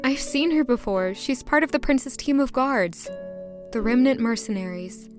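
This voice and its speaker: High-voiced, earnest young noblewoman. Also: animated, moderate pace. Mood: curious, polite. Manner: earnest, courteous. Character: polite, curious young noblewoman, easily flustered, eager. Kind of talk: audio drama acting with inner monologue. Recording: music behind the voice.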